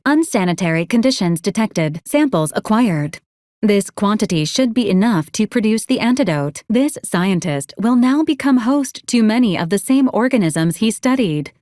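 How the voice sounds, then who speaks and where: An actress plays an unflappable female robot assistant from a video game, with a mid-pitched voice. She is formal and courteous, delivering clipped, deadpan advisories in a very dry, close recording. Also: no echo, lightly acted. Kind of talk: scripted video game character lines.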